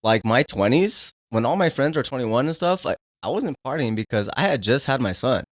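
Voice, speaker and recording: A man's voice: mid-range voice, animated, a little fast, no echo, studio quality. A man in his thirties, a podcast guest, talking in a laid-back, candid way and swearing when riled. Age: 30s. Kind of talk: casual conversation.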